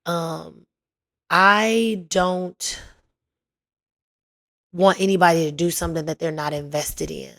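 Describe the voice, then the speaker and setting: A young woman hosting her own podcast in casual chat, her voice raspy and hoarse as it recovers, talking playfully with lots of slang. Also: on the low side, fairly even intonation, fairly bright tone. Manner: chatty, playful, slangy, drawn-out emphasis. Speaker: podcast host.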